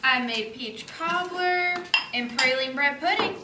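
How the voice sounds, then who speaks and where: A woman acting as a doting, hospitable mother. Her voice is high, in a stage recording with strong echo and clear noise. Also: expressive, measured pace. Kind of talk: amateur stage play dialogue.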